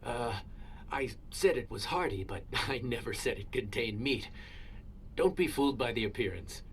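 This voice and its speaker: A man's voice: medium pitch, expressive, moderate pace, a little hiss or hum, lightly acted. A man playing an easygoing, polite young restaurant cook in a video game, accommodating and a little hesitant. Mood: amiable.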